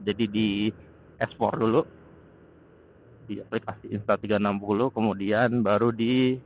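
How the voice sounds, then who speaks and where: A man's voice: lowish voice, flat delivery, normal speed. A man, rambling casually and searching for words as he vlogs from a motorcycle. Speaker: motovlogger. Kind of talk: casual vlog chat while riding. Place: outdoors on a motorcycle on the road. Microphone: helmet intercom microphone over Bluetooth. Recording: noisy wireless helmet intercom microphone outdoors. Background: wind and road noise.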